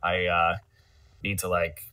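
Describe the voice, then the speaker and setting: A man co-hosting a casual sports chat, talking in a halting, conversational way, a little away from the microphone. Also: low-pitched, little pitch movement, pushed and bright, smooth, no room sound, far from the mic. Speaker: podcast co-host. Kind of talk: casual fantasy basketball chat.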